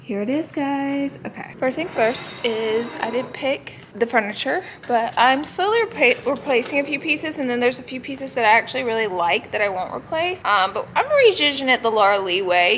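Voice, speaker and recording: Bright, higher-voiced woman vlogging. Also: a little hiss or hum. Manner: playful, gushing, slangy. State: sick with a cold. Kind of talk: casual house tour vlog chat. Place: inside and on the porch of a house. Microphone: handheld camera at arm's length.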